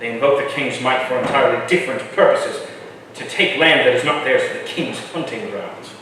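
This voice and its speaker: An actor playing an earnest young interpreter, respectful, tactful and hesitant, in a staged reading of a historical play. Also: male voice, medium pitch, a little fast, pushed and bright, natural voice. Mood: dutiful. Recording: echoey room from far off, faint noise.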